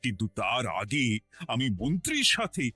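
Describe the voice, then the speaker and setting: A man's voice: medium pitch, huge pitch swings, normal speed, pushed and bright, breathy edge, faint music behind, exaggerated voice. A man voicing a busy, kindly but authoritative king in a cartoon, with theatrical, emphatic delivery. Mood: businesslike. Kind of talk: animated cartoon voice acting.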